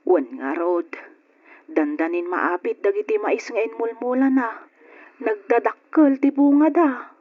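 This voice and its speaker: Earnest middle-aged aunt, muffled radio sound. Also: female voice, fairly high pitch, measured pace. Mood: concerned, chatty. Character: consoling, pious aunt of a grieving young woman. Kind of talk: old radio drama acting. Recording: dull lo-fi radio drama with music.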